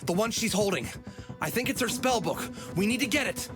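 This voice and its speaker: Guy as a wary fox hero, dry and bossy, fairly high for a man, loud music behind. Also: lively intonation, fairly quick. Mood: urgent. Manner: clipped, dry, commanding. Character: wary, dry, quick-thinking male fox adventurer leader. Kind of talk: audio adventure acting.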